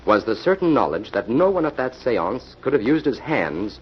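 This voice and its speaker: An actor playing a composed, confident detective, explaining things wryly in 1940s radio style. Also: male voice, medium pitch, very animated, normal speed, lightly acted. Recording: muffled, hissy old-time radio recording.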